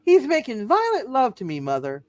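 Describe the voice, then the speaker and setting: A woman's voice: a little high, huge pitch swings, normal speed, a little mellow, dry recording. A woman playing a coy, teasing young single woman in a hammed-up amateur radio play reading. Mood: teasing, annoyed.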